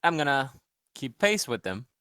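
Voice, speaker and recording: A man's voice: mid-range voice, big pitch swings, normal speed, pushed and bright, smooth, dry recording, studio quality. A man playing a tabletop role-playing game and talking casually with the other players.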